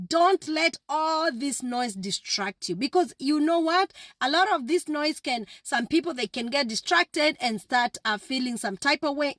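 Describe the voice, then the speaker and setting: Female, high-pitched, emphatic motivational vlogger with repetitive exhortations, dry recording. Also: fairly bright tone, no echo. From Kenya. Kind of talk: motivational direct address to viewers.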